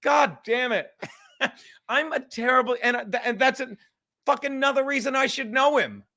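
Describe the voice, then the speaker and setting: A man's voice: very high-pitched, normal speed, bright, forceful tone. A man hosting a casual, profane livestream chat, rambling in a self-deprecating way and swearing freely. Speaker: livestream host.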